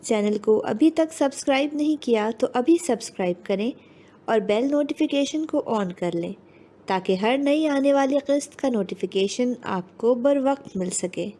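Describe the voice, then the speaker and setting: Lady doing a channel promo read, highish voice, really mellow. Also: animated, a little slow. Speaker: channel announcer. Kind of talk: scripted promo announcement.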